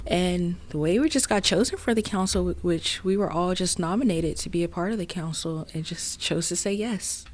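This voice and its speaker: Upbeat teenage girl with a lowish voice. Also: moderate pace, hardly any pauses. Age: teens. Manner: upbeat and earnest, with short fillers. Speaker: guest, youth council member. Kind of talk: casual interview answers.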